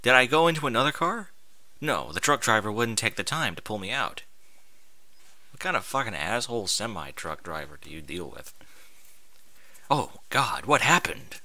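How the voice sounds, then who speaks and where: A man narrates a first-person story with a very bright, forceful voice. His pitch moves around in a lively way and his delivery is expressive and informal. The sound is dry and close. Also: normal speed, long pauses, dry recording. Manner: expressive, informal, sweary interjections. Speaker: fan fiction reader.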